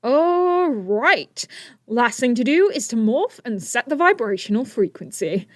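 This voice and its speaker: A woman's voice: fairly high pitch, very animated, measured pace, no room sound, clean studio sound. A woman playing a confident, flippant teenage inventor superhero in an audio drama, breezy and wry. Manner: breezy, self-assured, wry.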